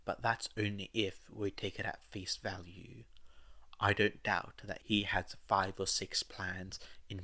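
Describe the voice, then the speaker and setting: Grave, gentle young man with a bitter edge, read by a man, measured and unhurried, for an audio story. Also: low-pitched, heavily acted. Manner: measured, earnest, apologetic.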